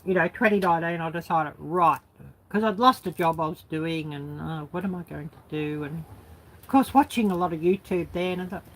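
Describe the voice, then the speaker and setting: A woman's voice: fairly low pitch, normal speed, slight background noise, low-quality recording. A woman vlogger reminiscing in a casual, unscripted way, rambling and colloquial, with lots of filler phrases. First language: English. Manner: rambling, colloquial, peppered with "you know" and "sort of". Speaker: YouTube vlogger.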